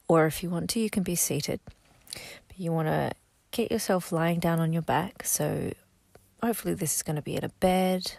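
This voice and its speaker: A woman guiding a sleep meditation, speaking softly and gently, slow and instructive, sometimes half-whispered. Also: sits low, creaky, no room sound. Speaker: meditation guide.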